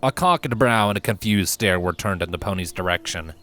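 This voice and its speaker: A man reading a fiction story aloud as its narrator, in an expressive scripted style. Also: low voice, fairly quick, faint music behind.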